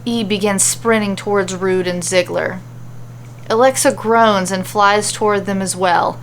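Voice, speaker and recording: A woman's voice: medium pitch, fairly even intonation, measured pace. Woman narrating a fantasy story, noisy recording. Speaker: narrator and author reading a story.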